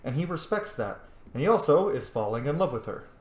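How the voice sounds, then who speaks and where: A man's voice: on the high side, big pitch swings, brisk. A man giving casual, reflective commentary as he plays a roleplay video game, talking conversationally. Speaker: gaming video host. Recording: roomy, echoing sound.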